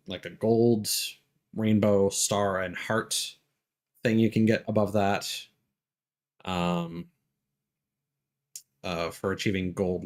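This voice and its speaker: A man doing casual live gameplay commentary as a streamer, thinking aloud as he plays. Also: fairly low pitch. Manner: thinks aloud, mild swearing. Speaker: video game live streamer.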